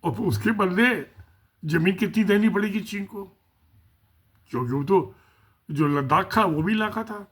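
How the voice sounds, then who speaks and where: A man's voice: sits high, moderate pace, long pauses, husky. A man giving casual spoken political commentary, musing and repeating himself, asking rhetorical questions.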